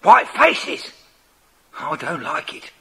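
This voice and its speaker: Noisy old tape, jumpy rough henchman in a kids audio play, high voice. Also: big pitch swings, takes it slowly. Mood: uneasy, rough. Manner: rough, uneasy. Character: nervy rough criminal sidekick. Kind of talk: children's audio drama acting.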